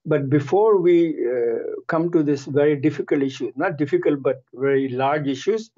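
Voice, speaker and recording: Halting man hosting an interview, mellow voice. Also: on the high side, lo-fi sound. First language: Urdu. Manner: halting, many fillers, chatty interjections. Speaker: interview host. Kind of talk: radio and TV interview.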